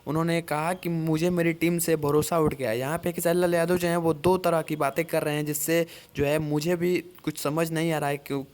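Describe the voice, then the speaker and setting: A man hosts an informal online news update. His voice sits slightly high for a male speaker and stays on a very even, flat line. He explains point by point, circling back over his phrases. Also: a little fast, few pauses. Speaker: YouTube host reporting entertainment gossip. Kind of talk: informal news commentary to camera or microphone.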